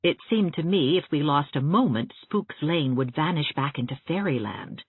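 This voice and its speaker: A woman's voice: deep voice, animated, moderate pace, husky, no echo. A woman narrating a first-person novel as an audiobook, reading expressively. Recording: thin, band-limited phone-like sound.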